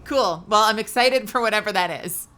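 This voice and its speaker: A woman's voice: mid-range voice, normal speed, sharp tone. A woman streaming a game live, chatting casually with her audience while congested and nasal. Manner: chatty, scattered, talks to chat. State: stuffy, congested.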